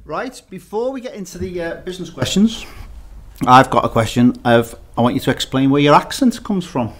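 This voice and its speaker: A man's voice: a little high, lively intonation, fairly quick. A man hosting a casual podcast interview, asking informal, rambling questions. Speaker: podcast host.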